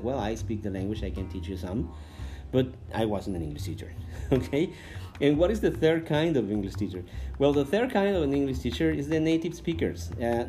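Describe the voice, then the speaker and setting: Spanish-native man talking over guitar music. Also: mid-range voice. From Mexico. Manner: thoughtful, frequent "uh" pauses. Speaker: English teacher and coordinator. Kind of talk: talking to camera. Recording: guitar music playing under the voice.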